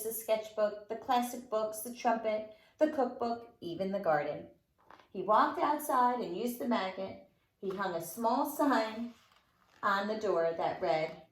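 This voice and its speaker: A woman reading a storybook aloud to young children as a teacher, expressively and with dramatic emphasis. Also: mid-range voice. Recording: roomy, echoing room sound.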